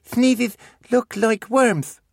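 A squeaky babbling toddler boy. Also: steady tone, drawn-out words, creaky, dry recording, clean studio sound, heavily acted. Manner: speaks of himself in third person, broken baby talk. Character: toddler boy, sticky-haired, stubborn, off-topic.

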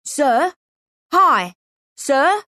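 A woman's voice: high voice, sing-song, extremely slow, pushed and bright, dry recording, studio quality, voice pushed up, heavily acted. A woman voicing a scruffy, eager young street boy in a storybook, pleading in slangy street talk.

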